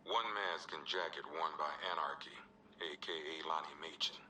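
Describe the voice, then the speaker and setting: An actor playing a gruff, world-weary American police officer, logging evidence for a video game in a terse, streetwise way with dry, wry asides. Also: male voice, a bass voice, normal speed, sharp tone, far from the mic, slight background noise, full character voice. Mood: dry, matter-of-fact. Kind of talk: scripted video game evidence log narration.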